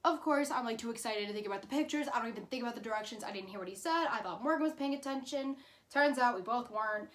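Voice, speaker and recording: High-pitched, chatty young woman storyteller. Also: fast talker, fairly bright tone. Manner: chatty, informal, dramatic emphasis in retelling. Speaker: YouTube storyteller. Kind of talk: casual personal storytelling.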